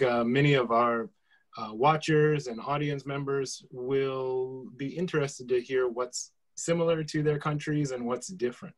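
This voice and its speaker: A man's voice: mid-range voice, rough recording. A man, moderating a casual panel and prompting guests with questions. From United States. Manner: friendly, prompting, with some restarts.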